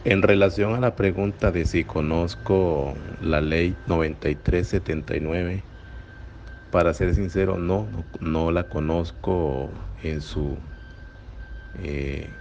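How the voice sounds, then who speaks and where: A man's voice: sits low, steady tone, slow pace, darkish tone. A man in his thirties with a Costa Rican accent, introducing himself and answering interview questions. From San José, Costa Rica. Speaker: school counselor, interviewee. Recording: muffled, noisy recording.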